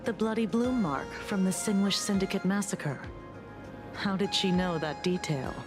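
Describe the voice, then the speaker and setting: A woman's voice: medium pitch, normal speed, natural voice. A woman playing a serious, by-the-book investigator in a video game, speaking formally and precisely with some exasperation. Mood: frustrated. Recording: music behind the voice.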